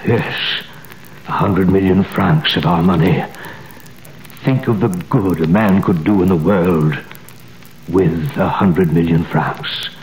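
An actor playing an old dying prisoner in a 1930s radio drama, speaking slowly and earnestly. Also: male voice, deep voice, darkish tone, low-quality recording. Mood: solemn, urgent. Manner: slow, solemn and earnest. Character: old dying male prisoner, gentle and fervent. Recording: old radio recording with faint hiss.

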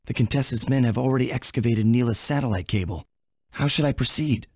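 An actor playing a cocky, wisecracking young thief in a video game, with a breezy, wry delivery. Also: male voice, mid-range voice, a little fast, darkish tone, breathy edge. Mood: breezy, confident.

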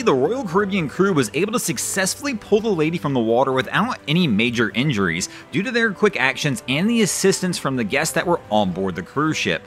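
A man hosting a weekly boating news roundup, reading scripted stories in a lively, rising and falling voice-over. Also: on the high side. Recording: voice-over with faint background music.

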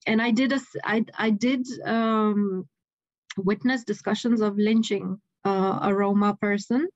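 A woman in her forties from Romania, speaking with a Romanian accent, telling a story as an anthropologist guest in an animated way on a video call. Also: medium pitch, measured pace, dry recording. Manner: animated, frequent restarts, laughs mid-sentence. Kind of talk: casual interview storytelling. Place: home room with bookshelves. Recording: dry, muffled video call audio.

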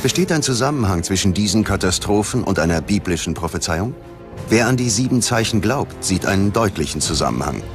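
A man's voice: deep voice, sing-song, a little fast. A man narrating a scripted documentary in a grave, dramatic manner. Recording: music bed behind the voice. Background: background music.

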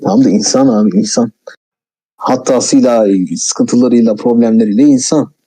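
A man's voice: medium pitch, moderate pace, warm tone, breathy edge, rough recording. A man giving blunt, argumentative film criticism in a casual, colloquial conversation. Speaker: film commentator, video maker.

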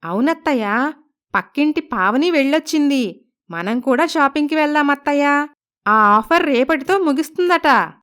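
A woman's voice: sits high, animated, normal speed, a bit bright. A woman voicing a polite, coaxing young daughter-in-law with a scheming streak in a cartoon moral story. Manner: respectful, coaxing. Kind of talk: Telugu animated moral story voice acting.

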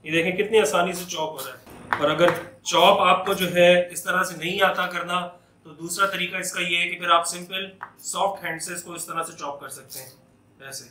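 A man's voice: sits high, steady tone, normal speed, reverberant, background noise. A middle-aged man hosting a home cooking channel, explaining each step patiently while he chops at the counter. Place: home kitchen, indoors.

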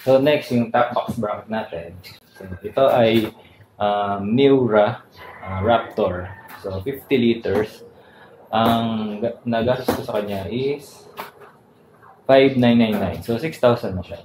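A man's voice: lowish voice, slow, darkish tone. A man with a Filipino accent hosting a casual vlog, talking conversationally with frequent little tag words. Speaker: motovlogger and channel host. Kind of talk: casual vlog product rundown. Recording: roomy echo, clear background noise.

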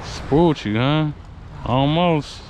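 A man's voice: fairly high pitch, very slow, noisy background. A man vlogging casually as he fishes outdoors, talking in a colloquial, exclamatory way into a worn camera. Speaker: fishing vlogger. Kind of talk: casual narration and chat while fishing. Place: open beach at night, outdoors. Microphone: worn action camera.

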